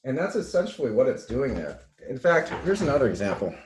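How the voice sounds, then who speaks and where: A man lectures in an informal, halting way, restarting phrases and checking that listeners follow. His voice sits at a medium pitch and carries noticeable room echo, like a class held over a video call. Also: big pitch swings, a little mellow, slight background noise. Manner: halting, with self-corrections and checking "right?". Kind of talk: informal slide lecture with live demos.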